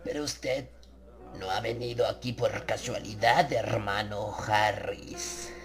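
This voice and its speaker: A man voicing a sinister, leering cult brother in a horror audiobook, slow and insinuating. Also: lowish voice, fairly even intonation. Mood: veiled threat.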